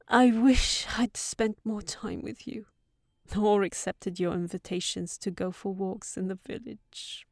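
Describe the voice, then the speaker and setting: A woman's voice: medium pitch, gravelly, no echo, clean studio sound. A woman playing a sensible young housekeeper secretly in love with a wizard, in an intimate, earnest audio roleplay. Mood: tender, regretful.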